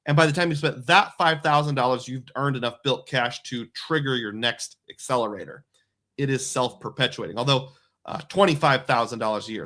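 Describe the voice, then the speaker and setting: A man's voice: a little high, fairly bright tone. A man hosting a live stream who works through credit card points math step by step, explaining each calculation aloud. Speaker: host.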